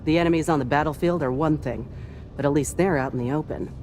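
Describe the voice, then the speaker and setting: An actress playing a fanatically loyal, hard-hearted military ship officer in a video game, earnest and coolly zealous. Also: female voice, deep voice, quick pace, darkish tone, faint music behind, lightly acted, poor audio. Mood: cold, resentful. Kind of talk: video game character dialogue.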